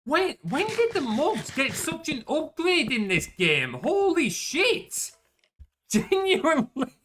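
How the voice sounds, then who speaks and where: Young male streamer, chatty, self-deprecating, quick to curse; animated delivery, crisp bright tone. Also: very high-pitched, a little hiss or hum. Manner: chatty and self-mocking, swears when caught out. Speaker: game streamer. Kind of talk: casual gameplay commentary.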